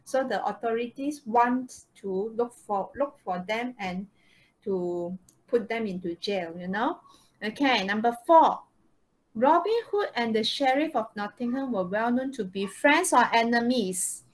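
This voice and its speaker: Female teacher for an online class, higher register, very unhurried, asks short checking questions. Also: animated, low-quality recording. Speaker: English teacher. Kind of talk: interactive online lesson. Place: online video call.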